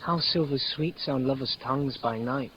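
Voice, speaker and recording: A man's voice: mid-range voice, normal speed, close to an everyday voice. An actor playing an ardent young nobleman in love, delivering fervent, theatrical Shakespearean verse in the manner of 1950s radio drama. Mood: tender, adoring. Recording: noisy, dull vintage 1950s radio broadcast.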